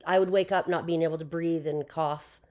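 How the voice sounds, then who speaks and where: Guest: young woman, lowish hoarse voice, loose chatty delivery. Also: fairly even intonation, a little fast, a little mellow. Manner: chatty, with frequent fillers and laughs. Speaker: podcast guest. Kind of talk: casual interview chat.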